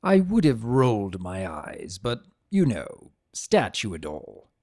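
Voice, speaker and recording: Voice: medium pitch, huge pitch swings, takes it slowly, warm tone, no room sound, clean studio sound, full character voice. A performer voicing a wry, grumbling male spirit of chaos, sarcastic and theatrical, telling a story in the first person. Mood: amused, dry. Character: Discord, sardonic, grumbling male spirit of chaos turned statue.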